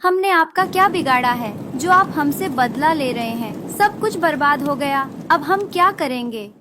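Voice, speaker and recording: A woman's voice: high voice, animated, measured pace, background noise. A woman voicing a meek, earnest young female bird, a wife in a cartoon fable. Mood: distraught. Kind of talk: Hindi moral-story cartoon voice acting.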